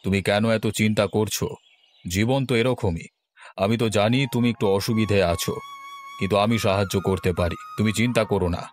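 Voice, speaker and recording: A man's voice: on the low side, fairly even intonation, normal speed, soft background music, close to an everyday voice. A man voicing a remorseful, kindly middle-aged village landlord in a cartoon, speaking earnestly and reflectively. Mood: reassuring. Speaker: voice actor. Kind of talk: cartoon voice acting.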